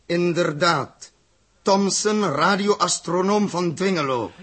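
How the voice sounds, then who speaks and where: An actor playing a blunt, intense male spaceship captain in a 1960s radio play, emphatic and deliberate. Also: high-pitched, fairly bright tone, slightly rough, exaggerated voice. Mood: accusing.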